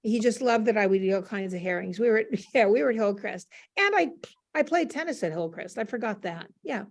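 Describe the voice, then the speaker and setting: An older woman speaking as an interview guest, casually telling anecdotes on a panel with a gently teasing manner. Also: medium pitch, expressive, fairly quick.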